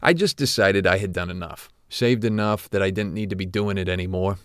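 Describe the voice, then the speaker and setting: An actor playing an older, guilt-ridden retired corporate executive who confides haltingly, as in an audio drama interview. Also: male voice, low voice, fairly bright tone, clean tone, no room sound, clean studio sound. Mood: weary, resigned. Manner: hesitant, confessional, halting.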